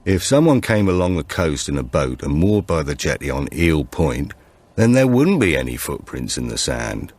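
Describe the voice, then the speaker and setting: A man's voice: very deep voice, big pitch swings, normal speed, a little mellow, full character voice. A man voicing an elderly, reflective great-uncle in an audiobook thriller. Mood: inquisitive.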